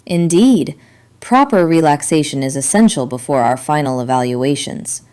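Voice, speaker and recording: A woman's voice: low voice, normal speed, warm tone, natural voice. Woman as a formal, composed schoolboy class representative. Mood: approving, formal. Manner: formal, proper. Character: composed, rule-abiding teenage boy class representative. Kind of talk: story character voice.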